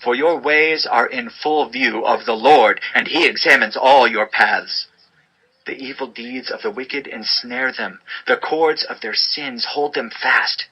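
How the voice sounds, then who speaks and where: A man's voice: medium pitch, measured pace, pushed and bright, slightly rough, low-quality recording. A man giving a solemn, fatherly dramatized reading of scripture as narrator, instructing as he goes.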